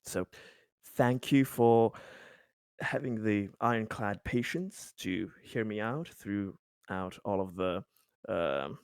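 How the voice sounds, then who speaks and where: A man voicing an earnest, long-winded, pragmatic colony official in an improvised tabletop game, speaking in halting, emphatic bursts. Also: lowish voice, darkish tone, clean tone, no room sound. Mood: polite, earnest. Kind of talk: improvised tabletop roleplay dialogue.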